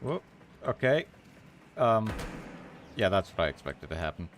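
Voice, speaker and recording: A man's voice: fairly low pitch, sing-song, measured pace, a little hiss or hum. A man giving casual, playful gameplay commentary, half talking to himself. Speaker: game streamer. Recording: game music and gunfire behind. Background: video game music, gunfire.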